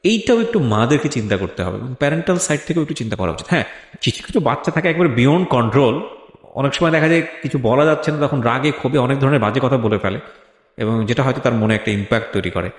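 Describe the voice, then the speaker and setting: A man's voice: medium pitch, expressive, quick pace. A man hosting a life-story interview, speaking reflectively and explanatorily, dropping English phrases into his talk. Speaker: interview host. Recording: echoey hall with long reverb.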